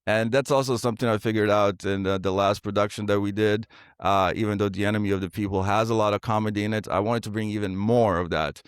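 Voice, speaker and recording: A man from Bosnia, a theater director and actor, talking in a casual interview, halting with many fillers and restarts. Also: on the low side, steady tone, smooth, no echo, clean studio sound. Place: podcast studio.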